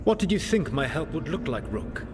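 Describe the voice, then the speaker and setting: A man playing an ancient elven trickster god in a fantasy game, composed, formal and deliberate. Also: very animated. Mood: calculating. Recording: rumbling ambient noise behind.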